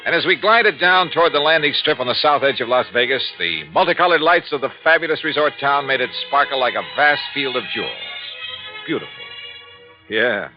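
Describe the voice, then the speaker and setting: An actor playing a wry, dry-humored insurance investigator who also narrates, in a 1950s radio drama. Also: male voice, mid-range voice, animated, fairly quick, natural voice. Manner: wry, dry, hard-boiled. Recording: dull lo-fi 1950s radio recording with faint music.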